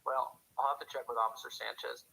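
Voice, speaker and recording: An actor playing a dutiful small-town night-shift police officer in a radio drama, dry, measured and understated over a radio. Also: male voice, medium pitch, level tone, creaky, pushed and bright, husky, heavily acted, rough recording. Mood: wry, businesslike. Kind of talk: radio drama, scripted log narration and calls.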